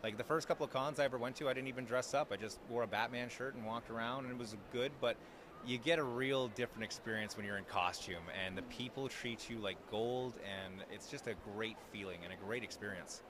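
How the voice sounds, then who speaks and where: A young man who cosplays, telling convention stories in a loud, easygoing way during an interview. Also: medium pitch, fairly quick, background noise. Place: outdoors at a busy convention.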